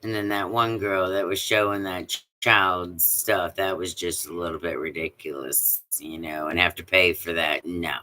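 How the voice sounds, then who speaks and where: A woman with a deep voice chatting casually on a live stream, rambling with many fillers and restarts. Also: steady tone, moderate pace, hardly any pauses, sharp tone. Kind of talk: casual chat.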